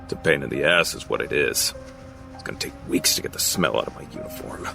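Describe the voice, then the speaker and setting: Gravelly jaded male cop. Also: extremely low pitch, fast, bright, forceful tone, faint music behind, heavily acted. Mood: exasperated. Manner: weary, sarcastic. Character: jaded, grumbling male police officer. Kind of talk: audio drama acting.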